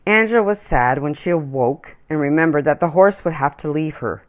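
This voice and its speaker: A woman reading a children's story aloud in an expressive, deliberate storytelling manner. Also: low-pitched. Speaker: children's story-time reader.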